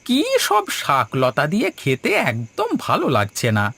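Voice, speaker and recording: Man acting a whiny young son-in-law, very animated. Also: mid-range voice, moderate pace, creaky, full character voice. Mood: petulant complaining. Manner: whining, complaining. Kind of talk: animated folk-tale cartoon acting. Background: background music.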